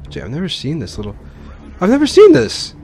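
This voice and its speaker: A man's voice: high voice, huge pitch swings, brisk, vocal fry, a little mellow. A man giving casual, rambling and exclamatory commentary as host of a video game let's play. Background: game music and sound.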